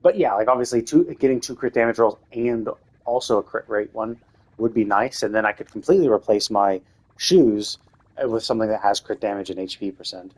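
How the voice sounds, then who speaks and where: A man's voice: on the low side, brisk, darkish tone. A man streaming a game live, thinking aloud in a loose, rambling way with lots of restarts. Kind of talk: casual live-stream commentary while playing.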